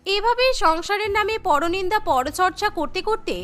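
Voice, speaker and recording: Female cartoon story narrator, very high bright voice, scripted delivery. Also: moderate pace.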